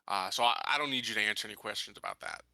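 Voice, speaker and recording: Bright, forceful man playing a tabletop game. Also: on the low side, gravelly, no echo, rough recording. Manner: halting, seeking clarification. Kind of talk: casual game-table talk with in-character questioning.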